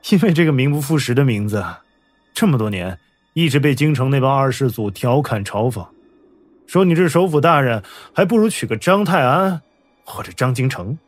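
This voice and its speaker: A man acts the idle, put-upon son of a minister in an audio drama. His voice is mid-pitched, with music behind. He sounds wry and self-mocking, yet soft-hearted. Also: lively intonation, normal speed, pauses often, dry recording, lightly acted. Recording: music under the voice.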